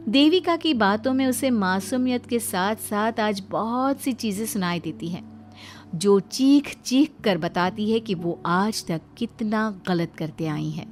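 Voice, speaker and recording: A woman's voice: mid-range voice, a little slow, soft background music. A woman narrating a scripted moral story as a storyteller.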